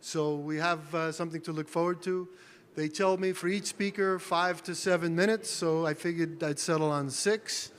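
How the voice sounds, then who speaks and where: A man's voice: on the high side, steady tone, a little slow, clear, resonant tone. A man chairing a conference panel, introducing the speaker with many fillers. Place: large conference hall. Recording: reverberant conference hall.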